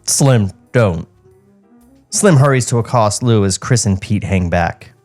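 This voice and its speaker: A man's voice: lowish voice, lively intonation, moderate pace, a little mellow, faint music behind. A man co-hosting a podcast, chatting casually and reading out stage directions from a script. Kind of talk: casual chat and script narration. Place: podcast studio.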